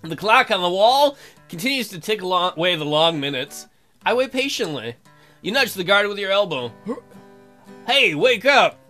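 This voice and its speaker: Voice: mid-range voice, sing-song, a little fast, pushed and bright, soft background music. A let's play host reading game text aloud with bawdy, joking, playful asides.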